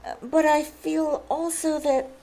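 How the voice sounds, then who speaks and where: A gracious, tender-hearted princess played by a woman in an old-time radio play. Her voice is high and she takes her words slowly. She sounds refined and theatrical, lingering on her exclamations. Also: little pitch movement, dark tone. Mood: earnest, concerned. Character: gracious, kindly young princess, gushing and compassionate. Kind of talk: old-time radio drama acting, live stage performance.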